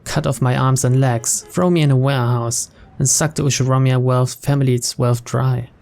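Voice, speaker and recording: A man's voice: medium pitch, steady tone, normal speed, gravelly, a little mellow. A man playing through a visual novel, reading its narration aloud in English as a storyteller. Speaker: video game let's player.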